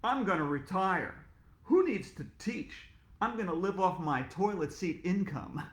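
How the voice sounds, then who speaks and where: A man's voice: high-pitched, lively intonation, unhurried. A man doing a frantic male teacher. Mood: gleeful. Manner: frantic, shouting. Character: panicking adult male teacher. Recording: roomy echo.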